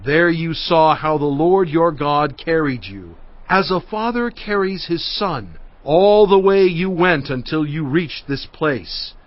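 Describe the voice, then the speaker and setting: A man's voice: fairly high pitch, lively intonation, slow, no room sound. An actor playing Moses as a fatherly, earnest leader addressing his people with oratorical weight in dramatized scripture narration. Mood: exhorting, grave. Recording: dull sound with music underneath. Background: music.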